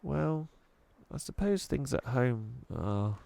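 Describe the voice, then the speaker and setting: A man's voice: medium pitch, big pitch swings, slow pace, gravelly, dark tone, dry recording, pitched above the performer's own voice, heavily acted. A man voicing a polite, anxious young girl in a storybook, hesitant and easily flustered.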